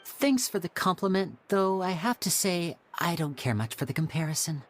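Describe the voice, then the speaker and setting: Woman voicing a suave, low-pitched young male magician. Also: expressive, normal speed, slight background noise, close to an everyday voice. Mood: showmanlike. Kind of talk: English video game voice acting.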